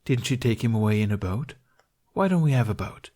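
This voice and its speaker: A man's voice: fairly low pitch, animated, fairly quick, mellow. A man voicing a mischievous, breezy young male performer in a storybook, joking and flippant. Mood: teasing.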